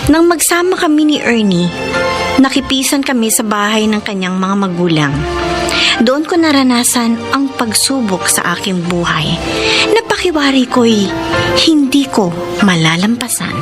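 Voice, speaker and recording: A woman's voice: fairly high pitch, expressive, moderate pace, music in the background, natural voice. A woman playing a meek, aggrieved young wife in a radio drama, with a plaintive, pleading manner. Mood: sorrowful. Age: 20s. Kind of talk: Filipino radio drama acting and narration.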